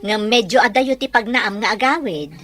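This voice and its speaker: An actress playing a kindly, cautious aunt in a radio drama, speaking with concern. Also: female voice, on the high side, lively intonation, normal speed, fairly bright tone. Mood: concerned, gentle. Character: kindly, cautious aunt looking after a young girl.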